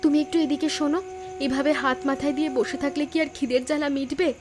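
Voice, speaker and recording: A young woman voicing a gentle, caring village daughter-in-law in an animated moral story. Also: high voice, fast, close to an everyday voice. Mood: worried. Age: young adult. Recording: music behind the voice.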